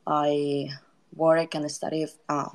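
Guest type: a low-pitched woman from Colombia, relaxed and talkative. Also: takes it slowly, clear, resonant tone. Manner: chatty, laughs, many fillers. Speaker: guest designer and educator. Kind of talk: casual conversation.

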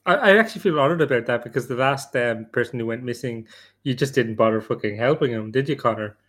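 A man's voice: mid-range voice, fast talker, fairly bright tone. A man with a mid-range voice talks casually on a panel. He feels his way through sentences in a joking, rambling fashion. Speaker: podcast panelist. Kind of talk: casual chat.

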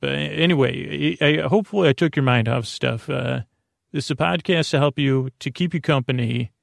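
A man hosting a sleep podcast, with a creaky, gravelly voice, rambling in a meandering, halting monologue that often stops and restarts. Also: medium pitch, no echo, clean studio sound. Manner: meandering, halting, self-deprecating.

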